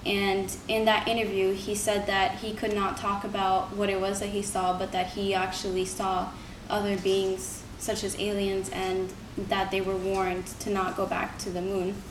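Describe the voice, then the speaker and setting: A woman's voice: mid-range voice, completely flat delivery, unhurried, hardly any pauses. A young woman giving an earnest, informal persuasive speech, like a student presenting to a class. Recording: roomy, distant mic, noisy background.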